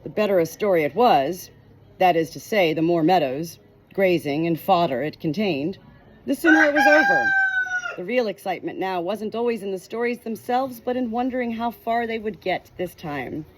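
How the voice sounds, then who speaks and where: A woman reading a novel chapter aloud in an expressive storytelling manner, giving short quoted lines a light change of voice. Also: medium pitch, normal speed, slight background noise, low-quality recording. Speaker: audiobook reader.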